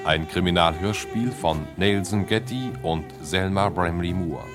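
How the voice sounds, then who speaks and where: Male title announcer for a radio drama; deep, measured and solemn, over music.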